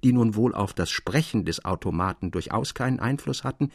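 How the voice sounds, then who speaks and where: Thoughtful literary storyteller, husky, close dry sound, formal expressive narration, measured phrasing, eerie tales. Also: male voice, fairly low pitch, no room sound, heavily acted. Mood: composed, intriguing.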